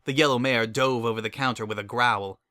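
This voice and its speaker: A man's voice: mid-range voice, steady tone, brisk, bright, forceful tone, dry recording. A man narrating a story as a scripted dramatic reading.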